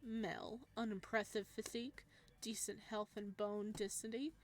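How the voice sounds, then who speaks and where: A woman's voice: steady tone, moderate pace, natural voice. A woman playing a gleeful, sadistic male living god from a visual novel, speaking in a clinical, mocking way. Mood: clinical appraising. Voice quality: low, slightly raspy as written. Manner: clinical, mocking, savoring words.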